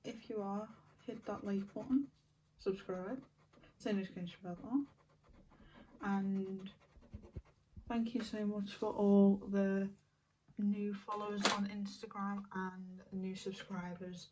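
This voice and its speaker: Croaky woman host, soft flat delivery, mellow tone, recorded a bit off-mic with faint noise. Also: mid-range voice, takes it slowly, long pauses, distant mic, poor audio. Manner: chatty, soft-spoken, thankful to viewers. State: losing her voice, a little croaky. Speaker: colouring channel host. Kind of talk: casual chat while colouring.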